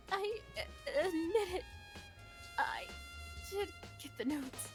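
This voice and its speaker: A nervous teen game girl, super high and swoopy, stammery, kinda slow. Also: expressive, over music, exaggerated voice. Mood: sheepish, guilty. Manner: stammering, drawn-out delivery. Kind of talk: amateur video game character dub.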